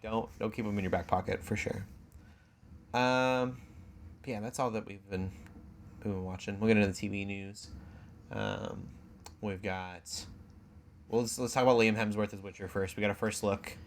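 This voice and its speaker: A man's voice: lowish voice, steady tone, moderate pace, long pauses, slight background noise. A man chatting casually as a podcast co-host. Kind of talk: casual chat.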